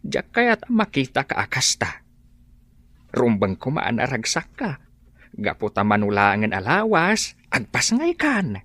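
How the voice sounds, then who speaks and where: A caring older father in a radio drama, with an Ilocano accent. He speaks very slowly in a husky voice. His pleading lines rise and fall expressively. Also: medium pitch, huge pitch swings, bright, forceful tone, no room sound, exaggerated voice. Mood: concerned. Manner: tender, emotional, pleading. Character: caring, worried older father of a grown daughter.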